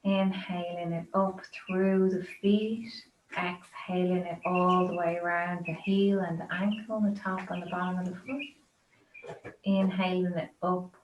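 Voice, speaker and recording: A woman yoga teacher guiding a relaxation in a gentle, soothing, unhurried and informal way. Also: fairly low pitch, level tone. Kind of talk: guided yoga relaxation instruction. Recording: roomy echo, dull lo-fi sound, clear background noise.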